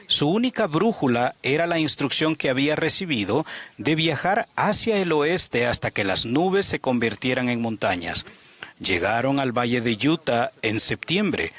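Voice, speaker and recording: A man's voice: mid-range voice, a bit bright. A man interpreting a sermon, telling a story with an earnest delivery.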